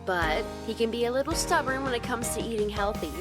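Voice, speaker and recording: A woman's voice: on the high side, lively intonation, brisk, over loud music, natural voice. A woman voicing a friendly, mischievous schoolgirl in a visual novel, with a casual, coaxing way of talking. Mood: sly, coaxing. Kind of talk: visual novel dub acting.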